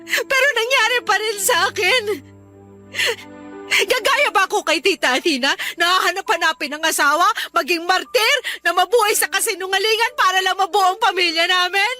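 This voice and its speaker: A woman's voice: very high-pitched, normal speed, pushed and bright, soft background music. A woman playing a desperate young mother in a radio drama, pleading and emotional. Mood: distraught. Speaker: heroine.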